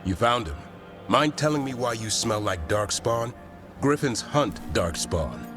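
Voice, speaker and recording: A man playing a gruff, wary monster-hunting warrior with a dry, guarded manner in a fantasy video game. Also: mid-range voice, expressive, unhurried, natural voice. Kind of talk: video game cutscene voice acting. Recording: game music under the voice.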